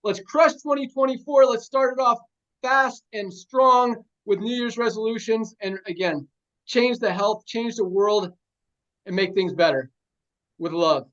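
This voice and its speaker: A man's voice: high-pitched, unhurried, fairly bright tone. A man hosting a team video call, rousing everyone with short rallying lines. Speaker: host of a sales team call. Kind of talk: energetic motivational talk. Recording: dull lo-fi call audio.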